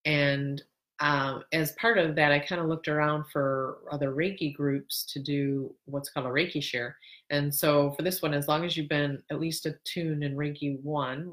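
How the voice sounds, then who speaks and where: A middle-aged woman with a notably low voice hosts her own podcast, chatting casually and rambling with plenty of restarts. Her nose is stuffy and congested, which thickens her speech. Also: a bit bright. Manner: rambling, self-correcting, laughs now and then. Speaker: podcast host. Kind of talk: casual solo podcast monologue.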